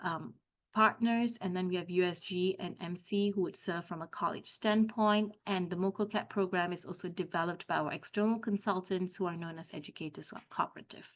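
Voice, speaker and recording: A woman's voice: fairly low pitch, fairly even intonation, brisk. Malaysian-born woman presenting as career coach. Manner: explanatory, frequent "um" fillers. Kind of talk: presentation at a parent meeting.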